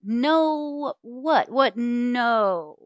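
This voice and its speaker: A woman's voice: a little high, lively intonation, drawn-out words, dry recording, lo-fi sound, pitched above the performer's own voice. A woman voicing a patronizing, flippant male doctor in a storybook, hemming and hawing as she talks. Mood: dismissive. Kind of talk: storybook character voice.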